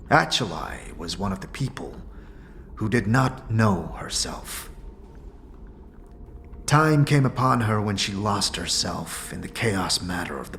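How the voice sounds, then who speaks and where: A man's voice: low-pitched, fairly bright tone, slight background noise, full character voice. A man playing a grave, stoic otherworldly warrior monk in a fantasy audio drama, speaking solemnly in formal, archaic phrasing.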